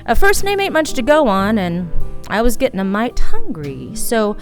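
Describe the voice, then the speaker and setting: A woman's voice: a little high, wildly swooping pitch, normal speed, soft background music. A woman playing a tough, wry female private detective in a noir radio play, with a hard-boiled, clipped, wisecracking delivery. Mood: wry narration.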